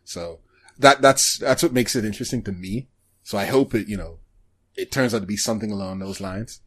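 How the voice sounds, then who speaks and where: A man hosting a podcast, chatting casually about shows in a halting, hesitant way. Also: on the low side. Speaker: podcast host.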